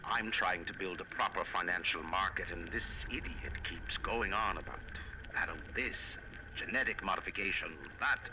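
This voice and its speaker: A self-important businessman voiced by a male actor for a game audio diary. His voice is low and his manner busy and dismissive. Clear noise runs under the recorded message. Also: moderate pace, natural voice. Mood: weary, dismissive.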